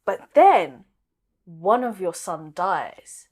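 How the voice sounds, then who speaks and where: A woman's voice: fairly low pitch, very animated, extremely slow, a little mellow. A woman in casual podcast chat about film and TV, with a teasing, slangy way of talking. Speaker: podcast co-host.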